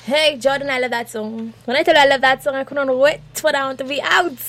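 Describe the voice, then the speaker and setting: Soft-spoken woman singer. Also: sits high, steady tone, a little fast. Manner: soft-spoken, cheerful, laughs often. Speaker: singer and guest. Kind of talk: radio interview. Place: radio studio.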